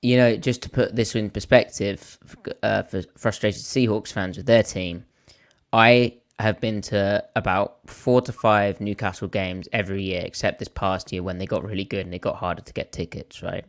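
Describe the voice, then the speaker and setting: A man with a hoarse voice, co-hosting a podcast and rambling through stories in casual chat. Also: fairly low pitch, normal speed. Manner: rambling storyteller, animated when telling a story. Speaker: podcast co-host.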